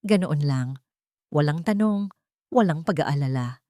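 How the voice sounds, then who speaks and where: A woman narrates a dramatic story in the first person, reading from a script. Her voice sits fairly low for a woman, and the sound is dry and close, with no room around it. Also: animated, measured pace, warm tone, no room sound.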